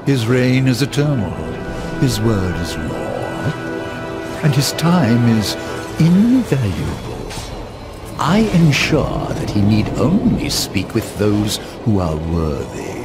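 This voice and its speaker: Smug swooping undead chancellor. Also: male voice, fairly low pitch, wildly swooping pitch, full character voice. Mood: pompous. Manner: pompous, drawn-out, mockingly courteous. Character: smug, haughty undead chancellor, sneering gatekeeper. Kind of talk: video game cutscene acting. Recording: loud music behind the voice.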